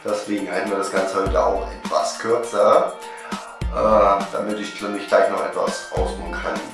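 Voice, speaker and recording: A man's voice: lowish voice, steady tone, normal speed, roomy sound, over music. A young man in his twenties with a cold, casually recapping the week for his fan channel on a webcam at home, full of fillers. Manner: chatty, many fillers, talks straight to camera. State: has a cold, voice sounds off. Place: small room at home.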